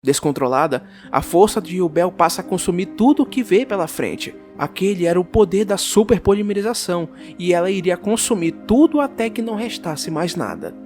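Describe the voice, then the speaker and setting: Man, Brazilian accent, high voice, epic scripted narration, theatrical, music underneath. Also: lively intonation, brisk, few pauses, darkish tone. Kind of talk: scripted dramatic story narration.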